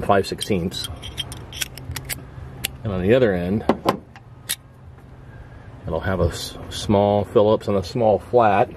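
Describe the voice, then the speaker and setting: A middle-aged American man giving casual, dryly humorous commentary while working hands-on outdoors. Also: deep voice. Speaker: host of a car repair channel. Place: outdoors beside a car. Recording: clearly noisy outdoor recording.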